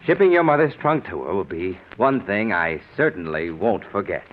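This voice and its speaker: An actor playing a resentful, henpecked husband with simmering menace and strained politeness, in 1940s radio drama style. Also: male voice, sits low, lively intonation, normal speed. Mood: quietly menacing. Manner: tense politeness giving way to bitterness. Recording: tinny, noisy vintage 1940s radio recording.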